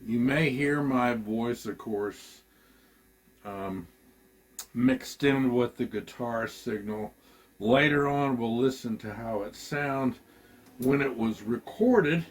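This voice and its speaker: A man giving a casual, halting gear demo, thinking aloud as a musician at work. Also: medium pitch. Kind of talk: casual product demo talk.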